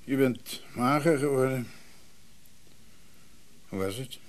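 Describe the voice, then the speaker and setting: An actor playing a blunt, exasperated shopkeeper brother in a radio drama, unforgiving and curt. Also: male voice, medium pitch, slow, natural voice. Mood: cold, curt.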